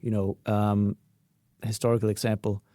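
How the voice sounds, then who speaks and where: A man's voice: fairly low pitch, flat delivery, moderate pace, warm tone, no room sound, clean studio sound. A man in a casual podcast discussion, speaking in a musing, tentative way. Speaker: podcast panelist.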